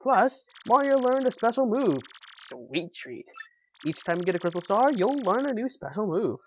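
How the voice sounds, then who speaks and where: A man's voice: sits high, very animated, moderate pace, muffled recording, a little hiss or hum. A man giving casual, wry game commentary and reading game text aloud in an offhand way. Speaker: video game player.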